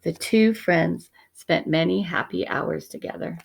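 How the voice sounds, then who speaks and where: A woman teacher narrating a children's picture book expressively, measured and dramatic, often dropping to hushed tones. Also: lowish voice, creaky, breathy edge.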